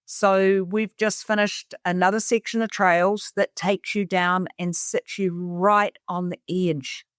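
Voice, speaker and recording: Middle-aged New Zealand woman, clear smooth voice. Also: medium pitch, fairly even intonation, takes it slowly, no echo, clean studio sound. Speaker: interview guest, cabin resort owner. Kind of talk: conversational personal storytelling.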